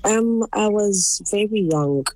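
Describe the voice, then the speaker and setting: A woman's voice: mid-range voice, expressive, slow pace, warm tone, no echo, rough recording. A woman who grew up in South Africa, speaking earnestly and repetitively in a remote news interview. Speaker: interview guest, salon worker. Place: remote link.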